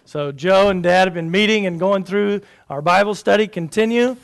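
Pastor, man, high voice, earnest church prayer and announcements. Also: moderate pace, vocal fry, smooth.

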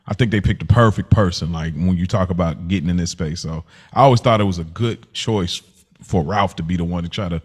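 A man's voice: deep voice, brisk, a little mellow, slightly rough. A man hosting a podcast, casually reviewing television in an informal, slangy way. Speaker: podcast host.

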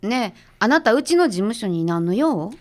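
A spirited, protective female assistant with a Kansai accent, acted by a woman in a radio drama. Her voice sits a little high and moves animatedly. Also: very animated, normal speed. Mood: defensive.

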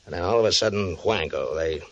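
An actor playing a brash, boastful, flirtatious private eye with slangy, cocksure talk in 1950s radio drama style. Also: male voice, sits low, fairly quick, gravelly, a bit bright, heavily acted. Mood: knowing, ominous. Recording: dull, muffled old radio recording.

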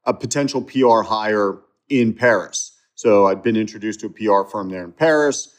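A man's voice: on the low side, normal speed. A man speaking as an interview guest, retelling a story in a casual, conversational way with frequent fillers and restarts.

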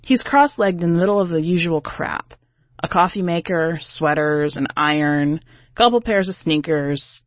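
A woman's voice: lowish voice, normal speed, no echo, highs cut off, close to an everyday voice. A woman narrating a short story as a brash, defensive, sarcastic female singer, in a wry, exasperated, conversational way. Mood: sardonic, confiding.

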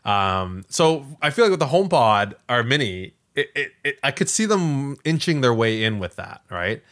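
A man with a bright, forceful voice chats casually as a podcast co-host. His pitch moves around in a lively way, and he leans on words emphatically as he makes his points. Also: moderate pace. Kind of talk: casual tech podcast chat.

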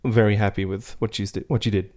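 A young man, co-hosting a podcast from his apartment, teasing dryly and repeating words in quick bursts. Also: fairly low pitch, little pitch movement, a little mellow. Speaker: podcast co-host. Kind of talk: casual chat.

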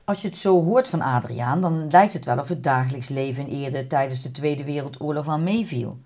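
A woman's voice: deep voice, fairly quick, warm tone. A woman hosting and narrating a documentary in a scripted, storytelling style. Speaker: podcast host and narrator.

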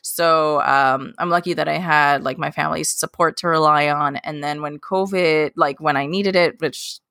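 A woman's voice: low voice, steady tone, moderate pace, a bit bright, clear, resonant tone, no echo, clean studio sound. A woman in her late twenties, talking as a guest in a casual interview, chatty and rambling with lots of fillers and restarts. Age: late 20s. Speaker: guest, K-pop journalist.

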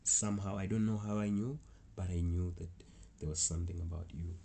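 A man's voice: sits low, fairly even intonation, brisk, mellow. A young man from South Africa with a South African accent, telling a rambling travel story in a casual way. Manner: rambling, restarts often, chuckles. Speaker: travel vlogger.

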